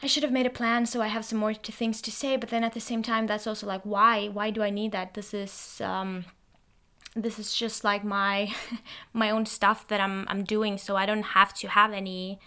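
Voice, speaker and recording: A young Norwegian woman, tired, talking in a hesitant, reflective way, with many pauses and fillers. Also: medium pitch, little pitch movement, sharp tone, clear, resonant tone. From Norway. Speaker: podcast host. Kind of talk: casual solo monologue. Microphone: testing a new microphone.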